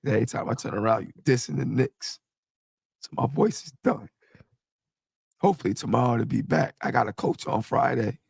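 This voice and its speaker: A man hosting a casual fan podcast while hoarse and losing his voice, talking in an animated, rambling way. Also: mid-range voice, normal speed, dry recording. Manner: animated, hype greetings, rambling. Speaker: podcast host.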